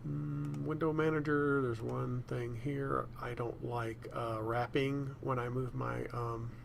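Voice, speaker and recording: Guy doing a laid back computer walkthrough, really creaky voice, figuring it out as he talks, bit of background noise. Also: mid-range voice, little pitch movement, takes it slowly. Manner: unscripted, thinking aloud. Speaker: software tutorial presenter. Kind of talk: casual screencast demonstration.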